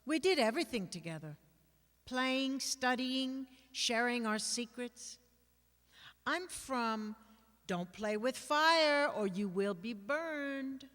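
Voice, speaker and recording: A woman giving a dramatic reading of a personal poem, phrase by phrase with measured pauses. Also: fairly high pitch, lively intonation, fairly bright tone. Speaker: actor or reader of others' writing. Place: reverberant hall, at a microphone. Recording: long hall reverb.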